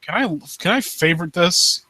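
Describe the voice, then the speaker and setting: A man with a fairly high, bright, forceful voice chats casually as a critique host. He is blunt and swears freely, and the sound is very dry. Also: animated, moderate pace, no echo. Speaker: host of a live story critique show. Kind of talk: casual chat, off-the-cuff critique.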